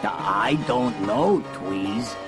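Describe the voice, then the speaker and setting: Slow dopey henchman creature. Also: fairly low pitch, animated, over music. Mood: slow, amused. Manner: dopey, hesitant, drawling. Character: slow-witted, easygoing male henchman creature sidekick. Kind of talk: children's story cassette voice acting.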